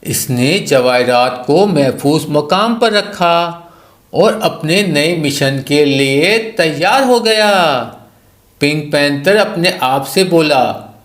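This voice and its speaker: A man's voice: fairly high pitch, animated, takes it slowly, fairly bright tone, roomy sound. A man reading a scripted children's story as its narrator.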